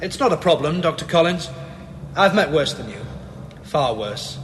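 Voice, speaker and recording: An actor playing a reserved police inspector in a radio drama, measured and dry. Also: male voice, on the high side, animated, background noise, lightly acted.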